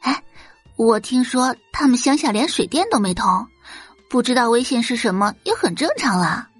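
A woman's voice: fairly high pitch, animated, normal speed, slightly rough, dry recording, soft background music. Woman putting on a catty, mocking teenage schoolgirl. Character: snide, gossiping teenage schoolgirls mocking a newcomer.

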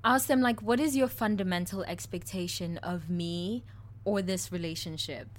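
A woman's voice: fairly low pitch, normal speed. Frank young South African woman. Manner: candid and crude, laughs often. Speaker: podcast co-host. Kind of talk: casual chat.

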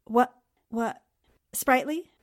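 A woman playing a confident, sharp-witted newspaperwoman sleuth in 1940s radio drama style, brisk and knowing. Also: a little high. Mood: excited, knowing.

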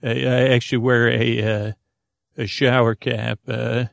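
Gravelly, rambling man, close and dry. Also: mid-range voice, little pitch movement, no echo. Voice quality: gravelly, creaky. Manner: meandering, halting, hesitant, trailing off into tangents; goofy, whimsical. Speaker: podcast host. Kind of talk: rambling, improvised bedtime monologue.

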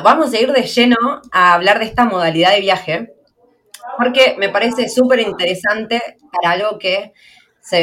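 A young woman with an Argentine Spanish accent, hosting a podcast in fast, slangy, chatty talk. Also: on the low side, fairly bright tone. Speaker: podcast host. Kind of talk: casual podcast chat.

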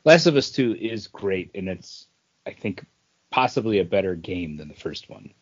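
A man's voice: low-pitched, sing-song, normal speed, breathy edge, dry recording. Man in casual chat, dry muffled sound. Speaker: podcast co-host. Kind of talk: casual pop culture chat.

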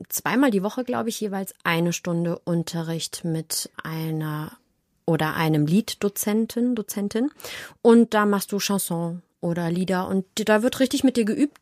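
A woman's voice: on the low side, darkish tone, no echo, studio quality. A woman giving casual, chatty interview answers in a playful, self-ironic way, telling stories with animation. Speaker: interview guest, actress and audiobook narrator.

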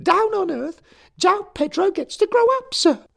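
Shrill, furious schoolboy ghost. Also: male voice, expressive, moderate pace, gravelly, heavily acted. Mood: furious whining. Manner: shrill, shouting, ends every sentence with "sir". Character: furious 13-year-old boy ghost, sulky.

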